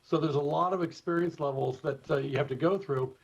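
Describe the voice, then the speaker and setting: American guy, expert guest explaining stuff, slightly high voice, measured, kinda muffled remote interview audio. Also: vocal fry, husky. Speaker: expert guest, former airport communications director. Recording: dull, slightly lo-fi remote feed.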